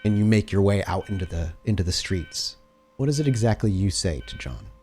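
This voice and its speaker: A man acts as storyteller for a tabletop game. His voice is low and soft-edged, with a dark, relaxed tone. He describes scenes in a cinematic way. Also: fairly quick, husky, faint music behind.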